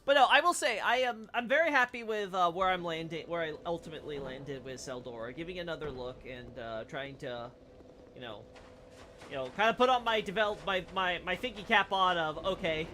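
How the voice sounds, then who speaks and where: A rambling streamer talks through game lore as they play, leaning on "you know" and "right?" at every turn. The voice is bright and forceful, with a faint hiss underneath. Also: medium pitch, lively intonation, fairly quick. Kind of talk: casual game lore commentary while playing.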